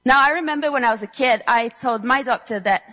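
A woman's voice: on the high side, quick pace, pushed and bright, long reverb tail. A young woman from Switzerland giving a prepared talk to an audience in a room, telling personal stories with earnest delivery and a touch of dry humor. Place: lecture room before an audience.

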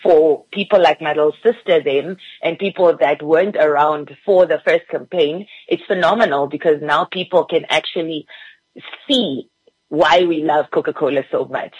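A woman's voice: deep voice, moderate pace, slightly rough, dry recording, highs cut off. A woman from Namibia, a PR guest, talking enthusiastically on a radio interview with fillers.

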